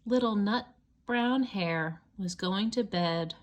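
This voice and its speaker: Slow-paced woman reading to young children. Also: mid-range voice. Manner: simple explanatory asides, as to young children. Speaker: storybook reader. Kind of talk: children's picture book read-aloud with asides.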